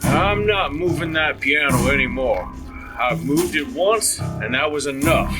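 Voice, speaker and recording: A man acts a practical family father in a radio-style drama. His voice sits a little high and he directs others briskly. Music plays clearly behind him. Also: expressive. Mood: steady, directing. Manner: brisk, instructing. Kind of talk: audio drama dialogue.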